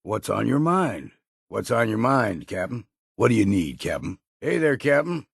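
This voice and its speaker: An actor playing a gruff, world-weary old island guide in a video game, laconic and folksy, with a gravelly, husky voice. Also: male voice, mid-range voice, very animated, normal speed, no echo, studio quality, exaggerated voice. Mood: gruff, attentive. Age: older. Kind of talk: video game companion dialogue.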